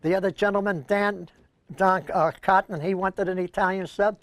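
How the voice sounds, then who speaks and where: High-pitched older Brooklyn man. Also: steady tone, normal speed, no room sound. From Brooklyn, New York, USA. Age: older, senior. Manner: rambling, anecdotal storytelling. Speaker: co-host of a senior news show. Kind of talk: casual reminiscing chat.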